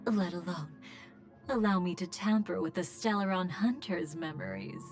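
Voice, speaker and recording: An actress playing a sly, obsessive video game villainess with a breathy, teasing and softly menacing delivery. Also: female voice, lowish voice, lively intonation, normal speed, lightly acted. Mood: smug. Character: taunting, obsessive, sly villainess, a memory manipulator. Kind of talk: theatrical video game voice acting. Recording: echoey, music underneath. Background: game music.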